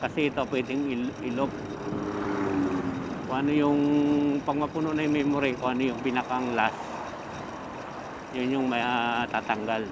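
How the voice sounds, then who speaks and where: A Filipino man talks in an ordinary mid-range voice, casually thinking aloud for his viewers. He rambles unscripted, as a motovlogger does. The sound comes from a microphone inside his helmet, with clear vehicle and road noise around it. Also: mid-range voice, flat delivery, normal speed, long pauses. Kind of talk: casual riding vlog, chatting to viewers. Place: outdoors, riding a motorcycle on the highway. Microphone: microphone inside the helmet intercom. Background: traffic and motor noise.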